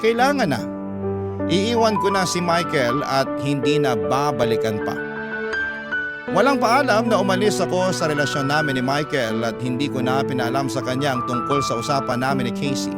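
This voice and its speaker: A man's voice: medium pitch, normal speed. A man with a Filipino accent narrating a dramatic first-person story in an emotive, reflective way, as on a radio drama. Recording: loud music bed behind voice. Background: loud background music.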